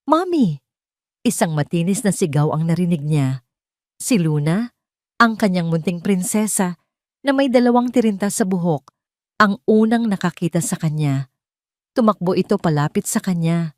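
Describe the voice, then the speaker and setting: Woman narrator with expressive, swooping delivery. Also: on the low side, no echo, clean studio sound. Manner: measured, dramatic storytelling delivery. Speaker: storyteller, narrator. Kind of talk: dramatic scripted story narration.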